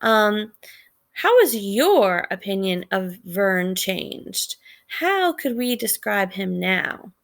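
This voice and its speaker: Female teacher, unhurried delivery with some creak, reading a story and explaining it with questions. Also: medium pitch, sing-song. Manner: measured, explanatory, pausing to question listeners. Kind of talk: read-aloud lesson with commentary and questions.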